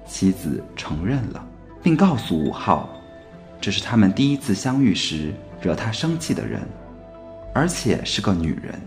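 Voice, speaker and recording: A man narrates a radio play as scripted storytelling. His voice is fairly low, with very animated intonation, over faint music. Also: moderate pace.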